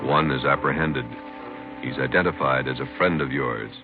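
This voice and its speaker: A man with a remarkably deep voice narrates solemnly. His phrases are terse and portentous, in vintage radio style. The broadcast is muffled, with music underneath. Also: normal speed. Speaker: narrating announcer. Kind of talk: solemn scripted 1950s radio introduction.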